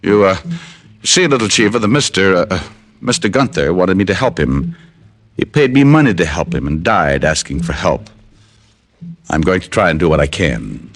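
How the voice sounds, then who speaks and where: A man's voice: low voice, expressive, moderate pace. An actor playing a wry, tough, hard-boiled private detective in an old radio drama, wisecracking and narrating his case in clipped phrases. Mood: measured, probing. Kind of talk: 1940s-50s radio detective acting and first-person narration. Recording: old radio recording, music behind.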